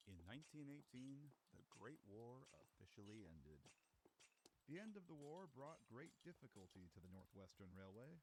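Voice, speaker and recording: A man's voice: medium pitch, slight background noise, rough recording. A man reading a scripted documentary-style narration as a narrator.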